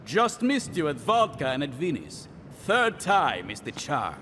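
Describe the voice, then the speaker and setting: An actor playing a suave Russian gangster villain in a video game, smug, theatrical and mock-courteous. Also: male voice, wildly swooping pitch, unhurried, bright, forceful tone, full character voice. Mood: smug, taunting. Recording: long hall reverb, faint rumbling noise.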